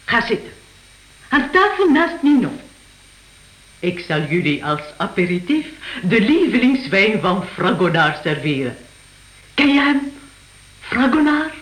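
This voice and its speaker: A woman's voice: mid-range voice, very animated, unhurried, pauses often, fairly bright tone. Genteel old lady in an echoing room. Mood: warm, insistent. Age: older. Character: gracious, mysterious old lady of a manor. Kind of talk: radio play acting.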